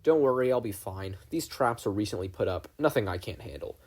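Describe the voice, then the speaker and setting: Man reading as a brisk, husky great-uncle adventurer. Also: fairly low pitch, expressive, a little mellow. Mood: reassuring. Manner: firm, giving orders.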